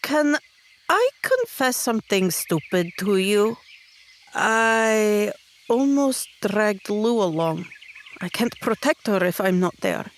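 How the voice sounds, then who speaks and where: A woman plays a young female adventurer in a tabletop fantasy game. She is fiercely loyal and determined, speaking in earnest, emphatic phrases at a middle pitch. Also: big pitch swings, takes it slowly, a little hiss or hum. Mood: troubled, confiding. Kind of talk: in-character tabletop roleplay.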